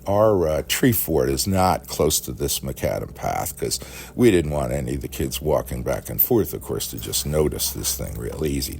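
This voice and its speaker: A man telling a rambling personal story in a halting, folksy, animated way, with a gravelly, husky voice. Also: extremely low pitch.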